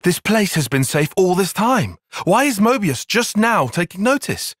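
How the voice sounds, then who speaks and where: Casting: young military strategist for a game, earnest and formal, husky edge, wide expressive pitch, dry studio sound. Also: male voice, no echo, full character voice. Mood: distressed. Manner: precise, formal, measured phrasing. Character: young male soldier tactician, analytical, formal, earnest. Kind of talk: video game cutscene voice acting.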